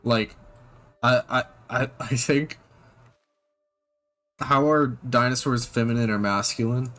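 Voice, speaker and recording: A man giving casual reaction commentary, halting with ums and restarts, blunt and profane. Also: mid-range voice, fairly bright tone. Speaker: reaction channel host.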